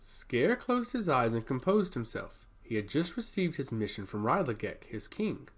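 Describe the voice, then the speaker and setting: Male narrator for fantasy fiction, dramatic and highly varied in pitch. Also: very animated, normal speed. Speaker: storyteller.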